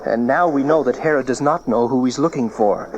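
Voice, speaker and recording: A man's voice: medium pitch, fairly quick, highs cut off, natural voice. An actor playing a devout, protective husband and father in an audio Bible drama, speaking earnestly and with reassurance. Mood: earnest, assured. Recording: noisy old cassette tape.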